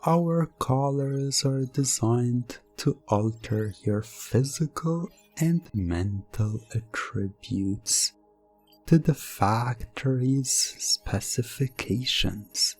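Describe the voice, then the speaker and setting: Man acting a slow, cold factory machine voice. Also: lowish voice, darkish tone. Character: artificial factory programming voice, cold and commanding.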